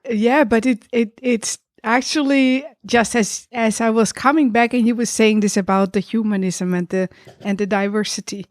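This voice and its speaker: A woman speaking reflectively in a casual podcast discussion, tentative and pausing mid-phrase as she thinks. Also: fairly high pitch, fairly even intonation, dry recording, clean studio sound.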